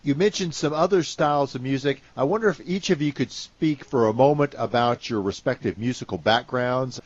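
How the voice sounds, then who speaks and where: A man hosting a podcast, putting interview questions to his guests in a clear, formal way. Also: medium pitch, brisk, dry recording. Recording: dry, dull lo-fi sound.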